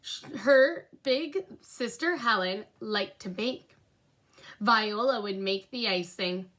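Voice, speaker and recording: Young female storybook reader, bright tone, unhurried and expressive. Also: medium pitch. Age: young adult. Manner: animated, clearly paced storytelling. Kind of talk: expressive children's read-aloud narration with asides. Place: indoor room at home by a window.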